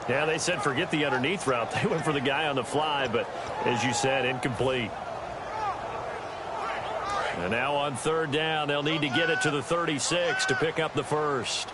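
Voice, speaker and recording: A man's voice: fairly high pitch, normal speed, heavy background noise, natural voice. A man with an American accent calling American football play-by-play in clipped present-tense phrases that lift on big plays. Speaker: play-by-play commentator in a football video game.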